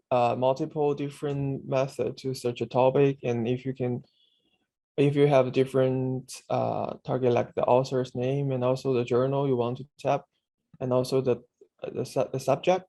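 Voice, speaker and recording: Halting man. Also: medium pitch, dark tone. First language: Chinese. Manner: halting, many fillers and restarts. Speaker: instructor demonstrating a database. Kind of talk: screen-share tutorial.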